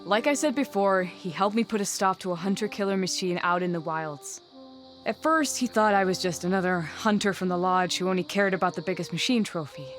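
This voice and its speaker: A proud young huntress speaks with earnest warmth and flashes of fire, as a woman plays her. Her voice sits a little low. A game score plays clearly underneath. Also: brisk, close to an everyday voice. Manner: earnest and wistful, with spirited outbursts. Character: proud young female hunter, brash and loyal. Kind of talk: video game cutscene voice acting. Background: game music score.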